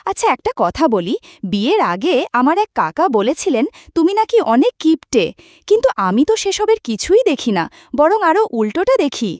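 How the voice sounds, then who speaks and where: A woman playing a lively young village wife, high-voiced. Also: brisk, dry recording, clean studio sound. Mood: mildly scolding, fond. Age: young adult. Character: young village wife and dutiful daughter, affectionate, chatty. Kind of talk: cartoon folk-tale dialogue.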